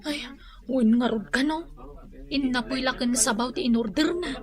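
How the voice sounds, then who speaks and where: A woman's voice: high voice, moderate pace, lightly acted. A woman acting a no-nonsense female co-worker with an Ilocano accent in a radio drama, lecturing in exasperation. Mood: gossipy. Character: scolding, no-nonsense female co-worker who lends money.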